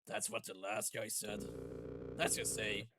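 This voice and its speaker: A man voicing a gruff male monster henchman in a video game, teasing with dry menace. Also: lowish voice, level tone, measured pace, heavy background noise, voice pushed down.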